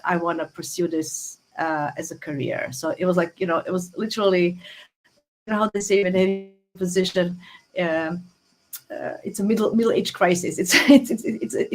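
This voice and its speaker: A woman's voice: lowish voice, normal speed, rough recording. A woman in her forties with a Croatian accent, talking casually as an interview guest, often repeating words and restarting, over a phone on a video call. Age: 40s. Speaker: guest astrologer. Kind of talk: casual interview talk, telling personal story. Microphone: phone app on a video call.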